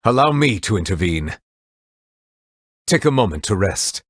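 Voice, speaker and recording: A deep-voiced, courteous otherworldly judge from a video game, with a husky edge. He speaks formally and with reserve, choosing each word with care, yet his intonation moves widely. The sound is close and dry. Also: very animated, no echo. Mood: calm, formal. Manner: formal, measured, eloquent, reserved. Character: solemn, refined male judge, courteous otherworldly being. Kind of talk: scripted game character voice lines.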